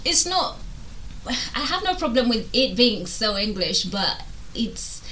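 A woman's voice: on the high side, very animated, slow, a bit bright, a little hiss or hum. A young woman in casual, slangy chat, opinionated and emphatic.

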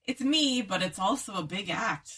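A woman in casual chat on a podcast, soft-spoken, mostly giving short agreeing replies. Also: medium pitch, expressive, moderate pace, a crowd in the background.

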